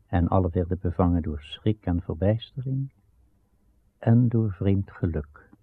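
A man narrating a radio play of old legend in a grave, measured, solemn storytelling style with dramatic pauses. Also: deep voice, lively intonation, husky, dry recording. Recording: muffled, narrow-band old radio recording.

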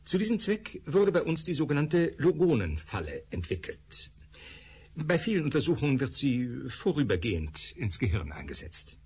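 A man's voice: medium pitch, huge pitch swings, moderate pace, a little mellow, breathy edge, heavily acted. An actor playing a male scientist who lectures with hesitant fillers in a radio drama. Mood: earnest lecturing. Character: male scientist explaining thought particles.

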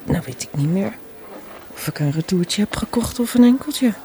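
A woman playing a wistful, gently stubborn mother in her fifties in a Dutch radio drama, with a husky voice. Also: mid-range voice, lively intonation, normal speed, a little hiss or hum, close to an everyday voice. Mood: reflective. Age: middle-aged. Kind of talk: Dutch radio play dialogue, naturalistic.

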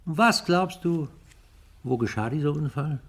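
A man's voice: on the high side, expressive, a little slow, a bit bright, slightly rough, heavily acted. An actor playing a stern, insistent police detective in a German radio crime drama, arguing his case with emphasis. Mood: matter-of-fact, pressing.

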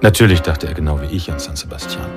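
An actor playing a reflective, nostalgic male narrator in a noir radio drama, speaking in a measured, literary manner. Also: very deep voice. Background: jazz music.

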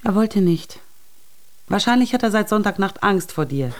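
A woman's voice: medium pitch, expressive, moderate pace, natural voice. An actress in a German radio drama playing an evasive, offhand woman who answers curtly.

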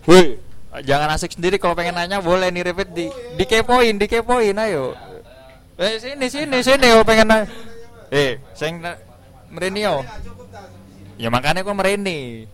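A man's voice: high-pitched, moderate pace, a bit bright. Informal male Indonesian radio host, Javanese accent. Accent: Javanese-influenced Indonesian, East Java. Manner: informal, colloquial, coaxing guests to speak. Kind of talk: casual radio interview chat.

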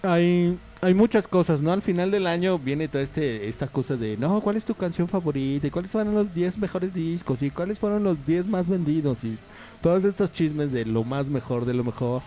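A man's voice: fairly high pitch, lively intonation, normal speed, hardly any pauses, warm tone. Chatty man hosting community radio. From Mexico. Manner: rambling, chatty, many fillers and asides. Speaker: radio host. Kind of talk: casual on-air chat. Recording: radio studio with faint music behind.

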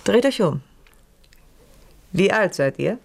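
A woman's voice: mid-range voice, lively intonation, slow pace, gravelly, full character voice. An actress in a German radio play playing a scheming, wealthy widowed noblewoman, haughty, condescending and commanding.

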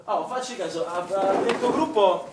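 A man's voice: sits high, moderate pace, close to an everyday voice. An actor playing a vain, cocky young male swimming instructor in a stage play, bantering and insistent. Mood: cocky, teasing. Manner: bantering, self-satisfied, insistent. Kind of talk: naturalistic stage acting in a theatre play. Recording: echoey, noisy theatre recording from far off.

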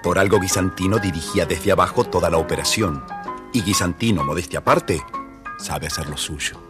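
Low man narrating over music. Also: normal speed. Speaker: storybook narrator. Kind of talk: expressive scripted children's story narration.

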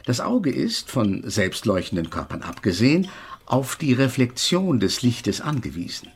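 An actor playing an invisible male physics professor in a German radio drama, haughty and exasperated, lecturing with pedantic precision in a husky voice. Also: mid-range voice, sing-song, a little slow, heavily acted. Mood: lecturing, precise.

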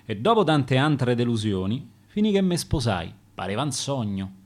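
Man reciting as sour cuckolded husband, expressive. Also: medium pitch, normal speed, close to an everyday voice. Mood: sour, aggrieved. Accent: Roman. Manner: sour complaint in verse. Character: disillusioned, grumbling cuckolded husband.

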